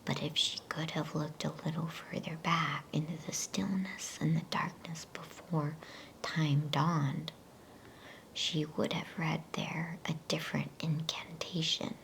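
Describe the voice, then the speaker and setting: A woman voicing a great, wise and gentle male lion in a solemn, unhurried whisper for a storybook reading. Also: low-pitched, flat delivery, gravelly, full character voice, poor audio.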